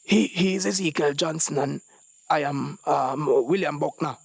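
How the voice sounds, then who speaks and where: A man's voice: fairly high pitch, steady tone, measured pace, dry recording, studio quality, pitched above the performer's own voice, heavily acted. Man playing a stammering, frightened young man. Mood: nervous, pleading. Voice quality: hoarse. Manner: stammering, hesitant. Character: frightened young man bluffing politely as a schoolteacher. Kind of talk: short story reading.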